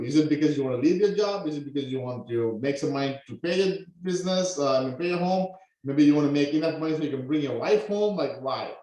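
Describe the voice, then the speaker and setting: A man from Sri Lanka teaches a live online class, full of energy and constantly checking in with "okay?". His voice sits a little high for a man. The sound bounces hard around a very echoey room. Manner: energetic, peppers talk with "okay?". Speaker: online business coach.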